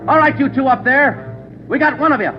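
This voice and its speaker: Fast, clipped police sergeant. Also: male voice, very high-pitched. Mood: tense, commanding. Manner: clipped, commanding. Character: tough, urgent male police sergeant, terse and commanding. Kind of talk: 1940s radio acting. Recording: dull 1940s radio recording, music behind.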